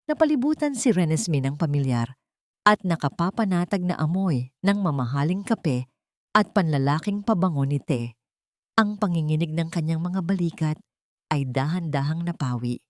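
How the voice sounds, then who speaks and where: A woman's voice: low-pitched, darkish tone, no room sound, studio quality. A woman narrator reading a scripted, dramatic romance story for an audio drama.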